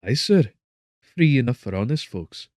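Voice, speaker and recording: A man acts out a stern townsman for an audiobook. He is blunt and commanding, with a gruff air. The sound is dry and close, with little room in it. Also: medium pitch, sing-song, a little slow, a little mellow, dry recording, voice pushed up. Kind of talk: audiobook character acting.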